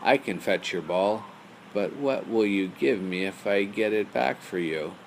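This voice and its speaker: A man acts out a talking frog from a bedtime storybook. The frog pleads and bargains insistently, stretching out its calls. The voice has a creaky edge over faint background noise. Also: fairly low pitch, a little slow, rough recording. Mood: earnest bargaining.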